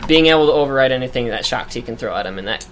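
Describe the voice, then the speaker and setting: A man with a high-pitched voice co-hosting a casual fan discussion, animated and laughing often. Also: very fast, a little hiss or hum. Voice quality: high-pitched for his age. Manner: animated, laughs often, echoes his co-host. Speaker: podcast co-host.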